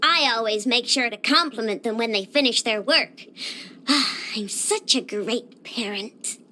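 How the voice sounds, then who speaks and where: A woman's voice: a little high, a little slow, pushed and bright, a little hiss or hum. A woman voicing a childish, playful and bossy little girl inventor with a sweet tooth, as a video game character. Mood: proud.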